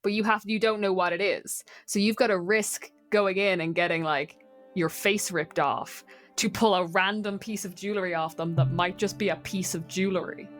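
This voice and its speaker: An Irish-accented woman talks casually at a middling pitch. She rambles a little as she thinks out loud, with faint music underneath. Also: fairly even intonation, moderate pace. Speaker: player and co-host on a show. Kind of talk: casual chat.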